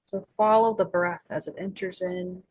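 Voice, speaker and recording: A woman leading a guided meditation as its guide, speaking in gentle, soothing invitations with long pauses. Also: fairly low pitch, fairly even intonation, low-quality recording.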